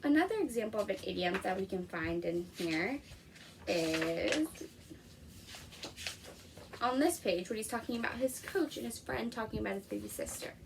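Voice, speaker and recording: A woman's voice: medium pitch, big pitch swings, normal speed, creaky, pauses often, recorded from a distance, background noise. A woman explaining things informally as a teacher during a read-aloud lesson.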